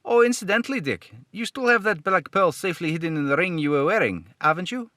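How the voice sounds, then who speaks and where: Guy putting on an excitable folksy cop sidekick for a radio play, pitched up high, dry close sound. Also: quick pace, no echo. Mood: chatty. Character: eager, chatty male police sidekick. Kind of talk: old radio serial acting.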